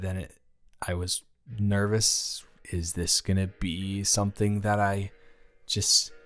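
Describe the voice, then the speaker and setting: A man playing an earnest, nervous teenage boy in love, speaking in a halting, stammering, rambling way in an intimate romantic audio roleplay. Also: lowish voice, lightly acted. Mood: vulnerable, nervous. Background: music.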